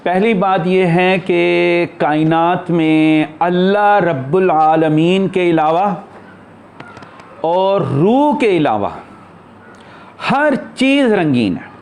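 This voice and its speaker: A man's voice: high-pitched, animated, extremely slow, a little mellow. A man giving an unscripted spiritual discourse as a teacher, explaining in short, broken phrases.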